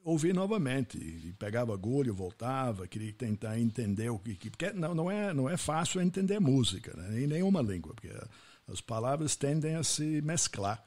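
Husky host. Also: male voice, mid-range voice, big pitch swings, normal speed, darkish tone. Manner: reflective, anecdotal. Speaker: podcast host. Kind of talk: casual interview talk.

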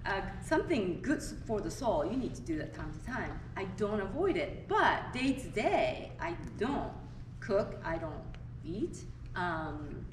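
A woman from Japan with a Japanese accent, speaking on a discussion panel. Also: medium pitch. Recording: noisy echoey room, far from mic.

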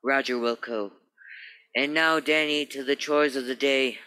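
A man's voice: mid-range voice, steady tone, measured pace, bright, forceful tone, clean tone. A man playing a wordy, comically formal police sergeant in a radio play, with a florid, officious, eager manner. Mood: pompous, eager.

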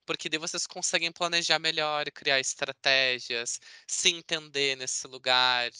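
A man's voice: a little high, steady tone, unhurried, pushed and bright, clean tone, no echo. A man with a Brazilian accent giving a chatty, explanatory webinar presentation over a video call. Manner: chatty, explanatory, often seeking confirmation with tag words. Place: indoor room on a video call. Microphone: video call microphone.

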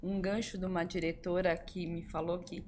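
A woman's voice: fairly low pitch, flat delivery, moderate pace, a little mellow, slight background noise. A woman, a podcast guest in a studio, sharing advice earnestly.

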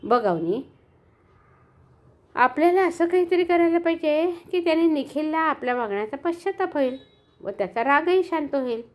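A calm, patient mother who reasons gently with her child, as a woman storyteller puts her on. She talks in a measured, soothing way, a touch lower than the narration. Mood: gentle.

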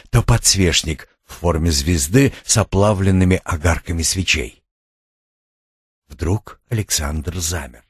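Low, husky male audiobook narrator. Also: dry recording, studio quality. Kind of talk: scripted narration of a suspense thriller.

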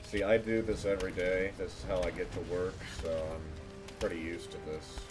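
A man doing casual live game commentary as a streamer, with deadpan joking. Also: low-pitched, brisk. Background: game music and sound.

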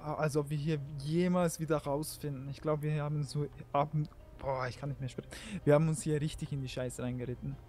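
Young guy goofing around in a game, kinda high voice, laughs a lot. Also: fairly even intonation, brisk, few pauses, darkish tone. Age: young adult. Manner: jokey, laughs often, clowns with silly bits. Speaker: co-player. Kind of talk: casual gaming chat. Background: game music.

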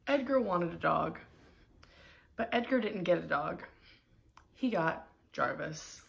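A young adult woman reading a children's picture book aloud in a deliberate, expressive storytelling manner. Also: lowish voice, vocal fry. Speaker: storybook reader. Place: small room at home, indoors.

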